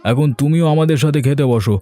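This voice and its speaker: A man's voice: a little high, quick pace, dark tone, faint music behind, lightly acted. A man voicing an easygoing, affectionate young father in a cartoon, soothing and placating. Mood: cheerful, placating. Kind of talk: Bengali cartoon story acting.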